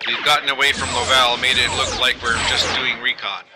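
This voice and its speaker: A brisk male military pilot acted by a man calls in over comms in a sci-fi audio drama. His medium-pitched voice stays clipped and controlled through loud noise, clicks and battle rumble. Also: little pitch movement, lightly acted. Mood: urgent, controlled. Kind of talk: audio drama radio-call acting.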